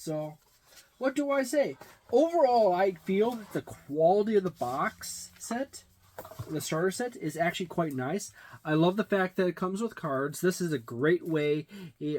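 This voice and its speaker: A man in his forties giving a casual, unscripted product review with halting, deliberate phrasing. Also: high-pitched, expressive, a little mellow, a little hiss or hum. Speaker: tabletop game reviewer. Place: small indoor room lined with shelves of games.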